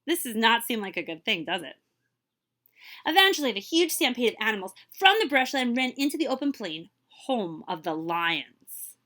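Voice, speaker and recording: A woman reading a children's story aloud as a librarian, with expressive, dramatic emphasis and questions to her young listeners. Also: fairly high pitch, normal speed.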